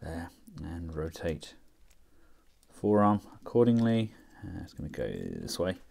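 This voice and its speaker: A man talking casually through a toy review, chatty and relaxed with frequent fillers as he works the figure with his hands. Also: low voice, a little slow, creaky. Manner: relaxed, chatty, thinking aloud with many fillers.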